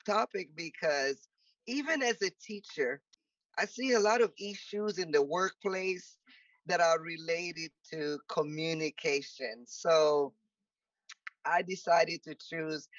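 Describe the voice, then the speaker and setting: A woman's voice: lowish voice, dry recording. A woman from the Democratic Republic of Congo, a French native speaker, hosting an online panel in an upbeat, chatty way with many fillers. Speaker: host. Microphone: video call. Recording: muffled distant video call audio.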